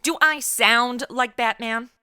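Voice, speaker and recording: A woman's voice: fairly high pitch, takes it slowly, background noise. An actress playing a sarcastic modern young woman and reluctant sidekick, snarky and blunt, in a comedy radio play. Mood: incredulous.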